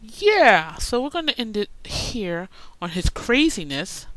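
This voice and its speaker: A woman's voice: fairly high pitch, very animated, takes it slowly, fairly bright tone, dry recording, rough recording. A woman hosting a gaming video with casual commentary, chatty and full of teasing asides to the game characters. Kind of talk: casual let's-play commentary.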